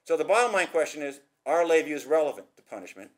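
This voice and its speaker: A middle-aged man giving an academic conference talk at a podium, brisk and gesturing, posing rhetorical questions. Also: a little high, very animated. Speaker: conference speaker. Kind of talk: academic presentation. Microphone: podium microphone.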